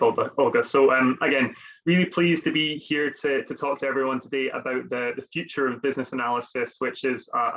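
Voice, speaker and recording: A man's voice: medium pitch, fairly bright tone, dull audio. A man hosting a business webinar, speaking conversationally with many fillers and restarts. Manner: conversational, with frequent fillers and repeated words. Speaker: webinar host, consultant.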